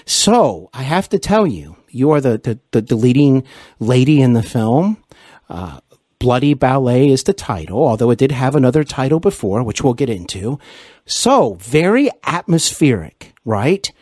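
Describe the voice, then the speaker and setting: An effusive man hosts a conversational interview podcast. His pitch moves in lively swings around a medium register. The sound is close and dry, and he is generous with compliments. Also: moderate pace, husky, dry recording.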